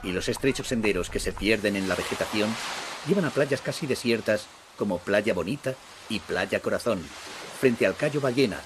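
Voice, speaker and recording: A man reading scripted documentary narration in a measured, descriptive way. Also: lowish voice, animated, background noise. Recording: voice-over with loud music underneath. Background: music.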